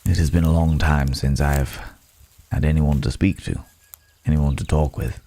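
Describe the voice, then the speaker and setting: A man's voice: a bass voice, fairly even intonation, normal speed, vocal fry, mellow. A man playing a courteous, guarded werewolf from the city in an intimate ASMR roleplay, speaking in hesitant, formal, polite phrases. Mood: grateful, gentle.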